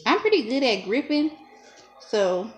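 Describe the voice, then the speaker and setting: A young woman talking casually through a hair-braiding tutorial at home, chatty and self-teasing, laughing often, recorded on a camera propped low in front of her. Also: very animated, measured pace. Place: small dim room at home. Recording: echoey room, faint noise.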